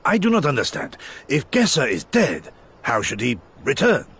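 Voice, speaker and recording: An actor playing an earnest, skeptical warrior abroad in a game cutscene, speaking formally. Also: male voice, medium pitch, huge pitch swings, normal speed, fairly bright tone, slightly rough, heavily acted. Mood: earnest puzzlement. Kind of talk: dramatic game cutscene acting.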